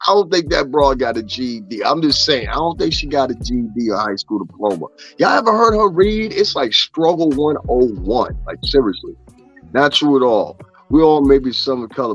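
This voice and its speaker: A man in the middle of his pitch range rants profanely in loose gossip commentary, circling back to "you understand what I'm saying" as he goes. The sound is a bit dull, with faint music under his voice. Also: a bit bright. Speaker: commentary streamer.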